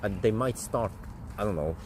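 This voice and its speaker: A man, rambling through casual live-stream chat as host and thinking aloud with fillers and restarts. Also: moderate pace. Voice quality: deep voice. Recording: steady vehicle and street noise.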